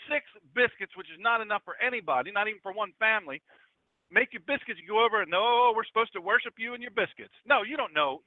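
Man acting out a furious, high-pitched young mother. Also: no room sound, phone-quality sound. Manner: scornful, ranting, sarcastic. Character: furious grieving thirty-something mother, bitterly sarcastic.

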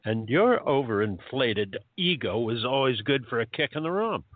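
A man's voice: mid-range voice, big pitch swings, normal speed, no room sound. A man playing a grumpy, blunt, sarcastic errand man in a radio play, curt and exasperated. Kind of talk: hobby radio play acting. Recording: dull lo-fi audio.

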